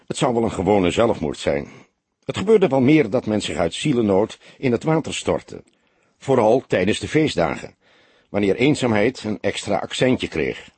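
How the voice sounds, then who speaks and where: Very husky, low-voiced male storyteller. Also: a little mellow, dry recording. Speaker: audiobook narrator. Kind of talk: dramatic scripted crime story narration.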